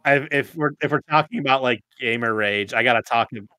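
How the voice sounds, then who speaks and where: A young American man telling anecdotes in a casual group chat, halting and rambling, with some swearing. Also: medium pitch, sharp tone, dry recording. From United States.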